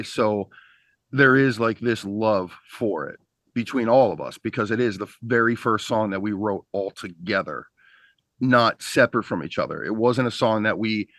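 Middle-aged rocker, low and dry-miked, talks in loose restarting ramble. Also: male voice, fairly bright tone, no echo. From Pennsylvania. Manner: rambling, many restarts and fillers. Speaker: band singer and bassist. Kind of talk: casual interview chat.

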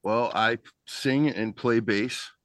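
A middle-aged man from Pennsylvania, a band's singer and bassist, chatting on a video call in a rambling way with many restarts. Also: slow, fairly bright tone, dry recording. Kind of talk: casual interview chat.

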